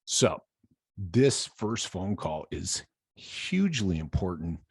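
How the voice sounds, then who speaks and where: Male business coach, 50s, deep and heavily husky, emphatic informal delivery with rhetorical check-ins, close-miked indoors. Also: sing-song, takes it slowly, no echo. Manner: emphatic, with rhetorical questions and "right?" tags. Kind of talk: informal coaching monologue to camera. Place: room with brick wall and window, indoors. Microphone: large microphone close to the mouth.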